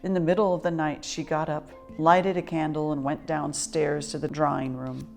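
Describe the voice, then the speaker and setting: A woman reading a children's picture book aloud as an expressive, dramatic storyteller. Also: deep voice, normal speed, gravelly, faint music behind. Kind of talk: expressive scripted story narration.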